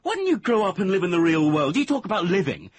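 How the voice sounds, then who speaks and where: An actor in a radio drama playing a sensible young man, a boyfriend with a breathy, husky voice and a conversational manner. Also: high voice, huge pitch swings, quick pace, exaggerated voice. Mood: angry, exasperated. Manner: conversational, placating, turning exasperated.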